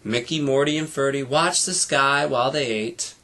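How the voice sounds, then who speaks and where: A man's voice: medium pitch, moderate pace, sharp tone. A man narrating a children's storybook expressively, like a teacher reading to his students.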